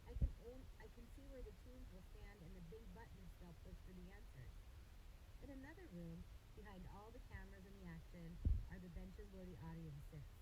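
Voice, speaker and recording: A woman's voice: medium pitch, brisk, heavy background noise. Woman reading a children's novel aloud. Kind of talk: audiobook-style narration of a children's novel.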